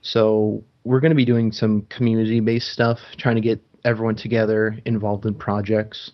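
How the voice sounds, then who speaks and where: A man's voice: fairly low pitch, little pitch movement, normal speed. Casual lo-fi podcast host man. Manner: chatty, frequent ums and restarts. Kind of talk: casual gaming podcast chat. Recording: dull lo-fi sound.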